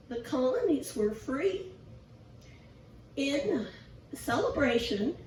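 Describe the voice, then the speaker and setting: Woman lay preacher, squeaky and raspy, self-deprecating, slow delivery, distant echoey church recording with faint hiss. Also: a little mellow. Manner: chatty, self-deprecating, asks the congregation questions. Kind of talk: informal sermon. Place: church sanctuary.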